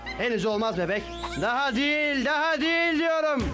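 Cartoon superhero captain barking orders, really high voice, music under it. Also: male voice, lively intonation, normal speed. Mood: firm. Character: commanding heroic superhero team captain. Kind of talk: cartoon dub.

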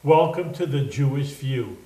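A man's voice: medium pitch, expressive, unhurried, warm tone, low-quality recording. An older man, a rabbi from Chicago, hosting a TV studio interview in a friendly, chatty, rambling way. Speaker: rabbi, host.

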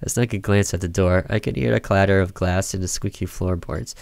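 A man's voice: low voice, steady tone, fairly quick, vocal fry, darkish tone, dry recording. A man reading a horror visual novel aloud as a gaming video narration, in a storytelling style.

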